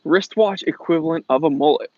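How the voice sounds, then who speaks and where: A man's voice: a little high, fairly quick, no room sound. Casual livestream host: American man, laid-back jokey slang, slightly dull lo-fi audio with little room sound. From New England, USA. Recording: muffled lo-fi audio.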